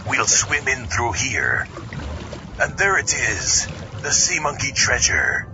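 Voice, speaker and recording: A man's voice: mid-range voice, very animated, slow, lo-fi sound, noisy background. A man playing a greedy, grumbling comic pirate captain with theatrical pirate talk in a podcast skit. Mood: gleeful narrating. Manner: theatrical, pirate-style speech.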